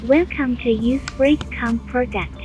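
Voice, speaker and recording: A woman's voice: on the high side, takes it slowly, darkish tone, faint music behind. A woman's synthetic voice giving short scripted device announcements. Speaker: device voice prompt. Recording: dull lo-fi intercom speaker playback.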